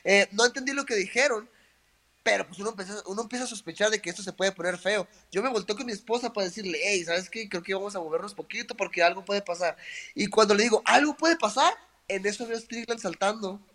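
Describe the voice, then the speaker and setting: A Mexican man with a Mexican accent and a fairly high voice, chatting easily as an interview guest. He talks casually and tells his stories loosely, leaning on small fillers as he goes. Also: fast. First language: Spanish. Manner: relaxed and chatty, with many "o sea" and "eh" fillers. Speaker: interview guest, professional fighter.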